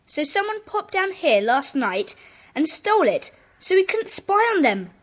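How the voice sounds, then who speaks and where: High-voiced, bossy young schoolboy leader. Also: female voice, big pitch swings, a little slow. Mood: decisive. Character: brisk schoolboy leader of a child detective club. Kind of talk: children's audio drama acting.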